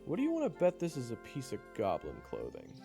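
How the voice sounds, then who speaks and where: A man plays a playful young adventurer with a hugely animated, slightly gravelly voice. He narrates his tale in a theatrical, joking way, in an echoey, reverberant space with music underneath. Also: medium pitch, fast talker. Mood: storytelling. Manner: theatrical, joking, conversational storytelling. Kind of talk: first-person audio drama narration and dialogue.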